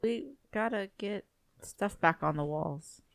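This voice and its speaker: A woman co-hosting a podcast, telling animated stories and acting out what people said. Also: medium pitch, takes it slowly, vocal fry. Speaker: podcast co-host. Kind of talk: casual chat, storytelling.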